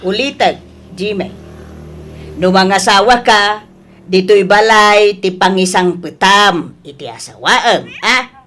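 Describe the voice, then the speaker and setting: A woman playing an emotional, stubborn elderly mother and grandmother in an Ilocano audio drama, with a Filipino accent and drawn-out, emphatic delivery. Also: mid-range voice, a bit bright. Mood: firm. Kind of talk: melodramatic radio drama acting.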